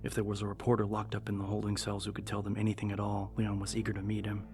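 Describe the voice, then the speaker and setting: A man narrating dramatic fiction as an audiobook, with measured, suspenseful delivery. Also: on the low side, monotone, music in the background.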